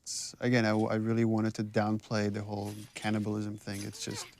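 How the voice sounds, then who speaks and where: A man's voice: on the low side, completely flat delivery, a little fast, a little mellow. A man commenting casually on game scenes as their director, reflective and often restarting his sentences.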